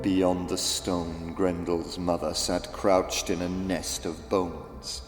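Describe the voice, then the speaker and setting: Deep-toned theatrical male storyteller in echo. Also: flat delivery. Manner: theatrical, deliberate. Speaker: audiobook narrator. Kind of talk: dramatic scripted storytelling for children. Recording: echoey reverb with faint music.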